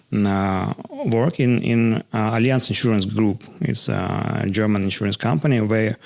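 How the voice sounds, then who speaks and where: A low, gravelly male voice with a Russian accent, speaking English haltingly and filling pauses with long "uh" sounds. He is a finance guest in a studio interview, feeling his way through each answer. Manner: halting, many drawn-out "uh" fillers. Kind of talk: interview answers in a second language.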